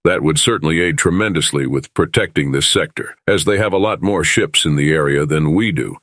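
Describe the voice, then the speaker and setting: Starship captain, male actor: very low voice, composed, reasonable negotiator. Close, dry sound, audio drama delivery. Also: a bit bright, dry recording, heavily acted. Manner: measured, reasonable, conciliatory.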